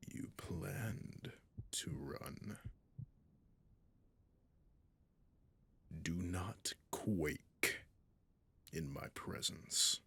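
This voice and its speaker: A man playing a haughty, possessive dragon king in a roleplay audio drama, speaking in slow, deliberate, formal phrases with a breathy, husky voice that often drops near a whisper. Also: deep voice, sharp tone, full character voice. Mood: intrigued, menacing. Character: imperious, possessive male dragon king, menacing and seductive.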